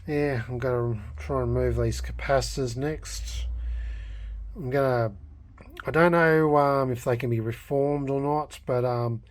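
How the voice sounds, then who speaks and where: A man narrating a casual, unscripted hobby vlog in a hesitant, halting way, thinking aloud as he goes. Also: medium pitch, steady tone. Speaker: hobbyist vlogger restoring a vintage radio.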